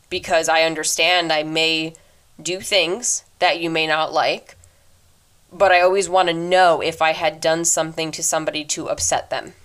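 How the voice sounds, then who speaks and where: A woman in her twenties hosting a solo podcast, talking earnestly and reflectively into her microphone, fast by habit, with a deep voice. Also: fairly bright tone. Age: 20s. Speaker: podcast host. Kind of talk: casual solo advice talk.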